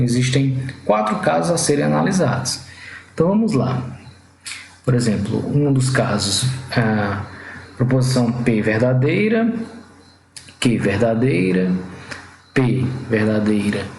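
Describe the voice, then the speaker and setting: A man's voice: medium pitch, gravelly, reverberant, slight background noise, poor audio. A Brazilian man teaching an exam-prep logic lesson at a whiteboard, explaining step by step and often checking in with the students. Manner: didactic, frequent "tá?" and "né?" checks, slows on key words.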